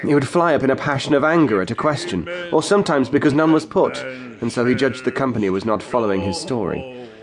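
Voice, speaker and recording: An actor narrating as a grown man recalling his boyhood, in a measured, literate storytelling style for an audio drama. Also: medium pitch, lively intonation, crowd noise, lightly acted. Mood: grave, vivid. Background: music.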